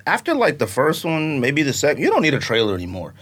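Podcast co-host, male, emphatic and lively, slightly high voice. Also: quick pace, breathy edge. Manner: animated, emphatic, acts out his reactions. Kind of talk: casual chat.

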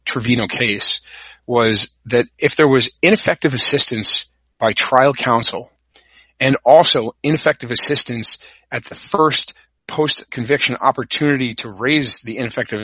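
A man hosting a podcast livestream, explaining court news while hoarse from a cold, halting with many ums and restarts. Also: medium pitch, sharp tone, no echo, poor audio. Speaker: podcast host. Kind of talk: solo livestream legal explainer.

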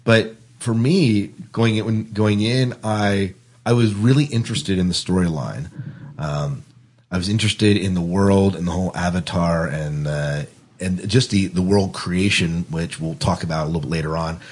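A man's voice: low-pitched, normal speed, a little mellow, husky. A man hosting a casual film discussion, putting questions and giving his own opinions. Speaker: podcast host.

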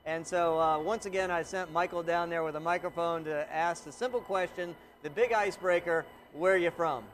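High-voiced joking male host. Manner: joking, quick asides. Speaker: host, company president. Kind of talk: casual interview and show chat.

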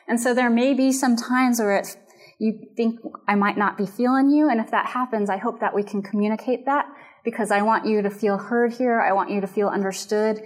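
A woman with a Japanese and American background, giving a measured, earnest lecture on biblical counseling and culture. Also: fairly high pitch, steady tone. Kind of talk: recorded lecture.

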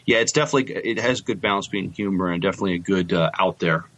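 A man co-hosting a podcast, chatting casually and reading comments with halting, hesitant phrasing. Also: low voice, fairly bright tone. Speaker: podcast co-host.

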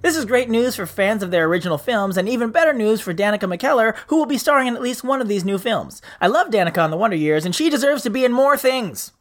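A man's voice: high voice, animated, fast talker, few pauses. A man hosting a podcast, reading listener feedback with jokey, self-mocking asides. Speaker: podcast host. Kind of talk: scripted podcast segments.

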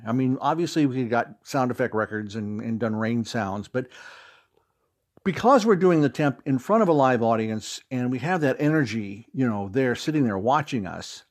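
A man's voice: mid-range voice, a little mellow. A middle-aged man with an American accent, chatting as an interview guest and telling anecdotes with emphatic stresses. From Fort Wayne, Indiana, United States. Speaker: interview guest, audio drama creator and stage actor.

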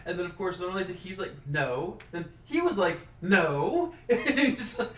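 A woman's voice: fairly low pitch, big pitch swings, moderate pace. A woman in casual chat, rambling and restarting her sentences with a chuckle. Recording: roomy echo, distant mic, faint hiss.

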